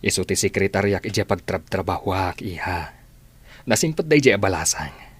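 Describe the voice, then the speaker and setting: An actor playing a gentle middle-aged father who soothes his daughter, in a radio drama. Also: low voice, sing-song, normal speed, husky, no room sound, heavily acted. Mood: reassuring.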